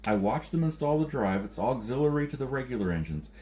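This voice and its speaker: A man voicing an anxious, quick-witted male outsider in an audiobook, speaking in an earnest, pressing manner. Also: medium pitch, very animated, mellow, voice pushed up, lightly acted. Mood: urgent bluffing.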